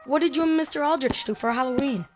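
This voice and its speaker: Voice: high-pitched, fast, faint music behind, close to an everyday voice. A young performer playing a skeptical, casual ten-year-old boy in an amateur audio drama. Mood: casual, polite.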